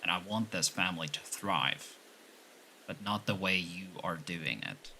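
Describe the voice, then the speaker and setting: A man's voice: sits low, measured pace, pushed and bright, husky, full character voice. A man playing a stern, loyal older gangland retainer in an audio drama, firm and reproachful. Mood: stern defiance. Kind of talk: ASMR roleplay audio drama acting.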